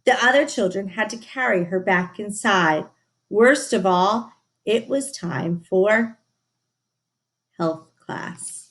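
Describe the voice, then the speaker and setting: A woman reading a children's story aloud in an expressive, emphatic, teacherly way, with asides to her listeners. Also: medium pitch, slow. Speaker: storybook reader.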